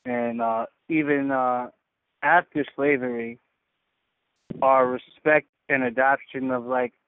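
A man shares reflective thoughts as a caller, choosing his words haltingly and earnestly. His mid-pitched voice comes through a narrow, radio-like phone connection with no room sound around it. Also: gravelly, clear, resonant tone, no room sound. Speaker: caller on a faith community call. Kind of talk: casual, reflective personal sharing.

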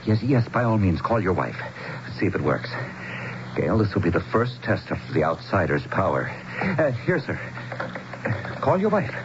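An American actor playing an effusive, insistent small-town mayor in a 1970s radio drama, speaking in a grandiose, courtly way. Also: male voice, lowish voice, sing-song, moderate pace, music in the background. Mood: insistent, eager. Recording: dull, noisy 1970s radio broadcast.

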